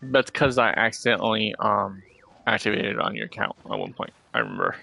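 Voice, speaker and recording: A man's voice: on the low side, wildly swooping pitch, brisk, creaky, pushed and bright, slight background noise. A man streaming a video game, chatting casually with a co-player. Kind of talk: casual gaming chat, reading dialogue aloud.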